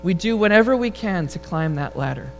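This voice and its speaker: A man with a high, rather feminine-sounding voice, preaching a relaxed, humorous sermon and leading prayer before a congregation. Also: fairly quick, faint music behind. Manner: relaxed, storytelling delivery with humor. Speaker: pastor. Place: church sanctuary before a congregation.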